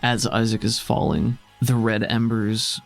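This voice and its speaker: Creaky-voiced male game master narrating. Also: lowish voice, a little slow, soft background music. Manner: descriptive, dramatic storytelling.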